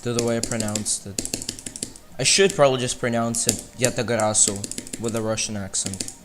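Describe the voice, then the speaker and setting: Young man, casual stream chat, rambling, mid-pitched voice, faint keyboard clicks behind. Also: fairly even intonation, moderate pace, noisy background. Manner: rambling, self-correcting, talks to chat while playing. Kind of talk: casual gaming livestream chat.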